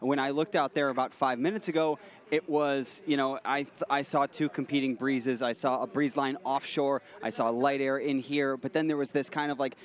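A man's voice: mid-range voice, fairly even intonation, moderate pace. A man giving expert analysis on live sailing coverage, thinking aloud and often repeating words as he restarts.

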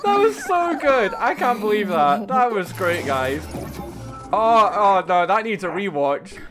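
A man in his thirties giving a casual, excitable video reaction as a streamer, often repeating his phrases. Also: high voice, measured pace. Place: small indoor streaming room. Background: video game trailer music.

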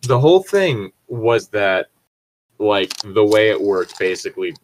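A man's voice: fairly low pitch, lively intonation, a little slow, slight background noise, rough recording. A man chatting casually and bluntly, swearing freely and arguing his points. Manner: profane, argumentative. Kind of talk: casual opinionated chat.

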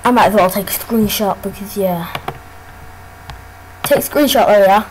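A woman casually commenting on her gameplay, excitable and often exclaiming. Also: medium pitch, normal speed, a little hiss or hum, rough recording.

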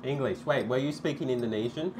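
A man's voice: mid-range voice, fast talker, background noise. A young Australian man with an Australian accent, co-hosting a cooking stream from his home kitchen in playful, teasing casual chat.

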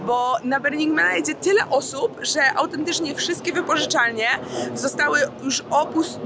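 A woman's voice: sits high, normal speed. A young woman chatting casually to the camera in an informal, slangy vlog style. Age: young adult. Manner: informal, chatty, with slangy English words dropped in. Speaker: vlogger. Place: in and beside a camper van, outdoors. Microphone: handheld camera at arm's length. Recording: noisy on-location vlog sound.

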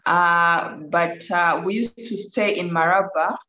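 Woman, Ugandan accent, unhurried pace, life coach guest, casual interview, echoey video call. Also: lowish voice, steady tone, bright, forceful tone. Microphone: video call device. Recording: roomy, slightly dull video call.

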